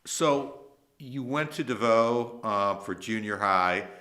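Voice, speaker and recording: A middle-aged man from Ohio, an attorney, hosting a casual interview in a relaxed way with plenty of ums and uhs. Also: fairly low pitch, slow. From Toledo, Ohio. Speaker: host, attorney.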